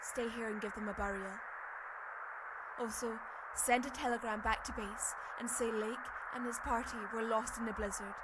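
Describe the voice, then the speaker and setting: A commanding woman expedition leader, acted by a woman gives firm orders in a radio play. Her voice is somewhat high and authoritative. There is clear noise behind her. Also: fairly even intonation, normal speed, close to an everyday voice. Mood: grim resolve. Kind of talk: radio drama acting.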